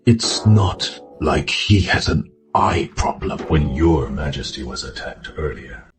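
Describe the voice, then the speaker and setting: Man playing a deep, slow, suspicious courtier. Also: huge pitch swings, heavily acted. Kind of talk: English dub of animated fantasy motion comic. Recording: echoey, loud music bed.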